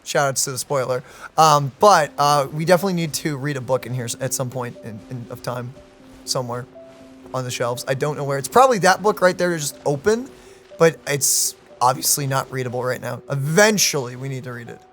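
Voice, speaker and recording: A woman co-hosting a let's play, chatting and exclaiming with quick reactions. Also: very deep voice. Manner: animated, exclaiming, laughs easily. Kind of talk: casual gaming commentary. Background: game music.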